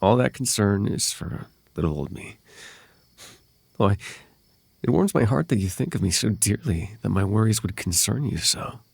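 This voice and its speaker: Man acting a husky, possessive fae prince. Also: on the low side, animated, moderate pace, dry recording, heavily acted. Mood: tender, coaxing. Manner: courtly, coaxing, softly menacing. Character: possessive, silky male fae royal wooing a captive lover. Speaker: voice actor. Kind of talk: ASMR roleplay acting.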